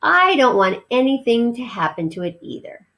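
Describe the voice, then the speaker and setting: A woman's voice: a little high, big pitch swings, normal speed, pushed and bright, full character voice. A small male mouse from a children's storybook, acted out by a woman reader. He is eager and a little indignant, complaining and pleading his case like a youngster who wants his way. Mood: chastened.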